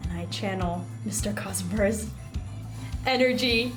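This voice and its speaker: A woman playing a tabletop role-playing game, chatting casually and excitably. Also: medium pitch, a little slow. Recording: echoey room with loud music. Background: loud background music.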